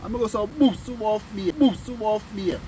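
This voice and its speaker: A Jamaican man telling stories and bantering casually with friends, in an animated, argumentative way, repeating phrases for emphasis. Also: high voice, fairly quick. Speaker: storyteller among friends. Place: outdoors. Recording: noisy outdoor recording with street sounds.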